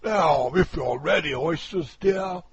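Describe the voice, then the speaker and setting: Big shouty walrus, way up high, slow and drawn out, pompous old greedy thing. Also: male voice, vocal fry, husky, highs cut off, full character voice. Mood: grand. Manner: theatrical, declaiming and shouting. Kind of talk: storybook poem reading.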